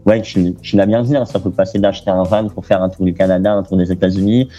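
A young man from France, a guest talking casually in an interview, relaxed and chatty with lots of fillers and restarts. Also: deep voice, fast, muffled recording, soft background music.

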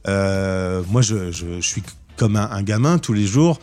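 Male radio host, low voice, eager and chatty in a studio, stumbles over words. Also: expressive, a little slow, a little mellow. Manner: enthusiastic, stammering restarts. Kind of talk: casual interview chat.

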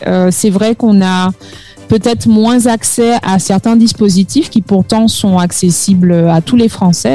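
A man from Martinique, a guest explaining his website in a measured way with many pauses. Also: high-pitched, mellow. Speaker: guest, founder of an expat website. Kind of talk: remote interview.

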